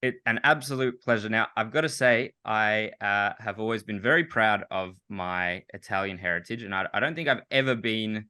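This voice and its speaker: Bright-voiced enthusiastic male podcast host. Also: fairly low pitch, moderate pace, hardly any pauses, smooth, no room sound. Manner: enthusiastic, rambling, many fillers. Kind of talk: casual interview conversation.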